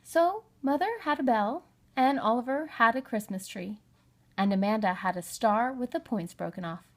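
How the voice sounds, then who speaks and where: Higher-voiced woman reading a children's story. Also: lively intonation, moderate pace. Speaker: storybook reader. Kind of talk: expressive children's story narration.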